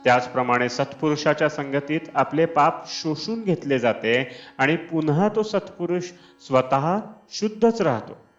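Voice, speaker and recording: A man reading a scripted spiritual discourse in a measured, deliberate manner. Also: fairly high pitch. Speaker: reader of devotional discourses. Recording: dull lo-fi, Indian classical music behind. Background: Indian classical instrumental music.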